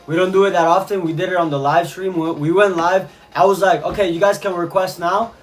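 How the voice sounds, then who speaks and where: A man's voice: sits high, fairly even intonation, a little fast, faint music behind. Cuban-born man chatting with his stream. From Cuba. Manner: reads chat aloud, answers viewers, repeats phrases. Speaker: livestreamer. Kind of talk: casual live stream chat.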